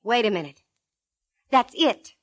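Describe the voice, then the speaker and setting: A woman's voice: a little high, big pitch swings, measured pace. Spirited American lady detective, vintage radio. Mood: brisk, sleuthing. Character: spirited female private eye, sweetly lovestruck and flustered. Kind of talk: 1940s radio acting. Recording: vintage radio recording, organ music.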